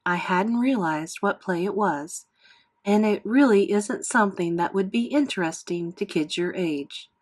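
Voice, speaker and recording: A woman narrator plays a mother in a storybook. She sounds measured and restrained at an ordinary female pitch, placating her children as she reasons with them. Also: lightly acted.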